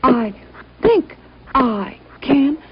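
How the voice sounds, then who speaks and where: Lady doing a plucky little girl train engine, chanting away, high voice, noisy muffled old recording. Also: very animated, extremely slow, full character voice. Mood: determined, straining. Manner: chanting, repetitive, puffing effort. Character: small plucky cheerful female steam engine, determined.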